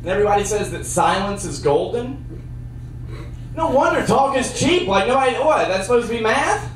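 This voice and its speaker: A young man doing a stand-up comedy set with a handheld mic on a theater stage, trading dry one-liners for sudden loud bursts. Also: sits high, animated, moderate pace, background noise. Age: young adult. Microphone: handheld mic on a cord. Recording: roomy sound from a far camera.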